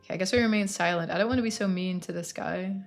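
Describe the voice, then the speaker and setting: A woman's voice: on the low side, racing, creaky, soft background music. A woman streaming a game playthrough, mixing casual commentary with reading the text aloud.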